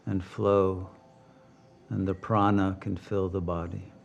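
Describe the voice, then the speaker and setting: A man leading a guided meditation as a teacher, speaking calmly and deliberately with long pauses. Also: low-pitched, creaky, lo-fi sound.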